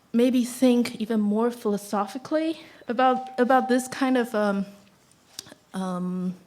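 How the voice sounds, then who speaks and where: A woman talks casually and reflectively as a guest at a live event. Her voice sits at a middle pitch, and her delivery is chatty and conversational. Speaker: guest speaker, violinist and educator.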